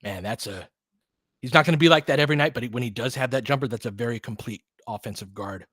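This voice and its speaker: A man's voice: mid-range voice, fast talker, fairly bright tone, no room sound, clean studio sound. An American man doing casual play-by-play as a partisan fan, in clipped, colloquial present-tense phrases. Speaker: play-by-play host and fan commentator. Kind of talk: casual audio basketball play-by-play commentary.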